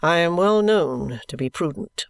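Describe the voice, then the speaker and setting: A man's voice: on the high side, measured pace, vocal fry, no room sound. A man voicing a composed, dry-humoured former soldier in an audiobook. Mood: wry. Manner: calm, dry. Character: composed, wry ex-soldier, middle-aged man. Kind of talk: audiobook reading.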